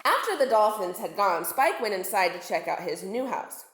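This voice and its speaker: A woman's voice: medium pitch, expressive, normal speed, a bit bright. A woman reading a storybook aloud to children as a narrator. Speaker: storybook reader, teacher. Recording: echoey room.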